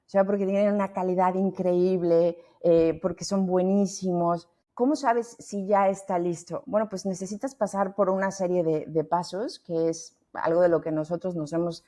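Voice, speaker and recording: A woman's voice: fairly low pitch, normal speed, hardly any pauses. A middle-aged woman from Mexico with a Mexican accent, talking freely as a guest on a podcast, often restarting her sentences. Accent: Mexican Spanish. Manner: lively, talkative, many restarts. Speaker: founder and guest. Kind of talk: podcast interview.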